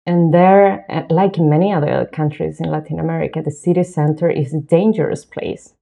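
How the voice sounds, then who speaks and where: A woman, talking as an interview guest in a relaxed, thoughtful way with soft laughs. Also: deep voice, a little fast, vocal fry, lo-fi sound. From southern Chile. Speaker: guest, lighting designer. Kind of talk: casual interview talk. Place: home.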